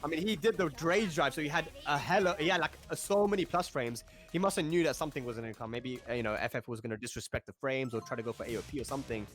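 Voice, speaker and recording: A man calls a fighting-game tournament live, talking quickly and easily. He sounds chatty and enthusiastic. Faint game music plays underneath. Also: mid-range voice, a bit bright. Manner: chatty, enthusiastic, laughs often. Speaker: esports commentator.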